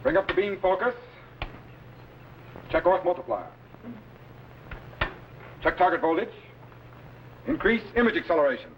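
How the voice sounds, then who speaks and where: An actor playing a commanding, scholarly time-machine captain in a crisp, authoritative 1950s science fiction serial style. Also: male voice, high-pitched, very animated, moderate pace, long pauses, slight background noise. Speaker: captain and mentor. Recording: dull vintage broadcast with loud music.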